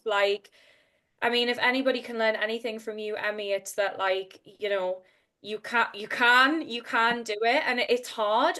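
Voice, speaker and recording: A woman's voice: a little high, a bit bright. A woman hosting a casual interview, speaking enthusiastically and encouragingly to her guest. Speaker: interview host.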